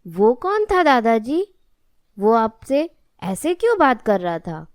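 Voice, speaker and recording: A woman's voice: a little high, big pitch swings, slow, smooth, no echo, clean studio sound. A woman voicing an innocent, earnest little grandson in a cartoon moral story. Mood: curious, earnest. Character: innocent, devoted young grandson, a little boy.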